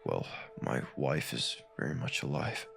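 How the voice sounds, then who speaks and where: A man's voice: very deep voice, lively intonation, measured pace, vocal fry, fairly bright tone, soft background music, full character voice. A man playing a weary, unsettled married newspaperman and father in a comic dub, speaking hesitantly. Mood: troubled, hesitant. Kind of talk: dramatic comic dub acting.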